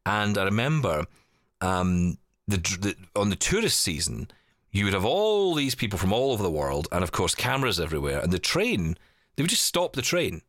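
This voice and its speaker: A man hosting a tech podcast, chatting casually and telling stories with quick asides. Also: on the low side, big pitch swings, fairly bright tone, no echo, studio quality. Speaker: podcast host. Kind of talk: casual chat.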